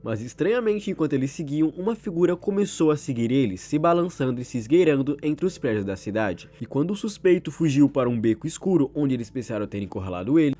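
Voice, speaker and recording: A man's voice: on the high side, big pitch swings, quick pace, few pauses, dark tone. A man with a Brazilian accent doing informal video narration as a channel host, retelling and commenting on horror stories in a conversational way. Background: background music.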